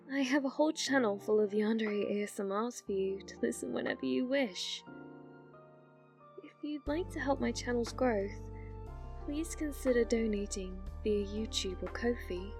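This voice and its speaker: A British woman, an ASMR creator, giving a soft-spoken outro to her listeners. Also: on the high side, normal speed, soft background music.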